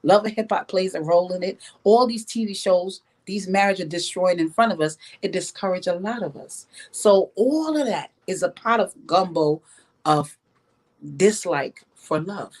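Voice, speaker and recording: Low-voiced, emphatic woman in discussion. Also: normal speed. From Jamaica. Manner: emphatic, repeats phrases for emphasis. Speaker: podcast guest. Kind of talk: opinionated podcast discussion.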